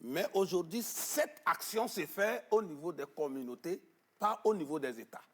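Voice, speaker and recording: Man, television interview guest, husky voice, gravelly edge, emphatic, hesitant fillers. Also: sits high, animated, moderate pace, a bit bright. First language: Arabic-educated, French not first. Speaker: guest on traditional knowledge. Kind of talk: studio interview, emphatic explaining. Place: TV studio.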